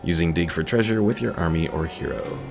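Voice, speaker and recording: Guy streaming a strategy game, deep croaky voice, thinking out loud, game music behind him. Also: brisk. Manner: thinking aloud. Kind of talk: casual gameplay commentary.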